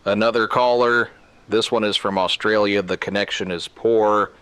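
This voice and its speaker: A man playing a grave emergency radio broadcaster in a scripted drama, speaking solemnly and deliberately in short, clipped sentences. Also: fairly low pitch, fairly even intonation, a bit bright, smooth, no room sound, natural voice. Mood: grave, controlled. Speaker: radio news host.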